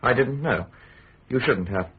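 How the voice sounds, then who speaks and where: An actor playing a menacing, officious minister of state in a radio drama, with a curt, forceful, articulate delivery. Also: male voice, mid-range voice, lively intonation, measured pace, slightly rough. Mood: cold. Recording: narrow, noisy radio recording.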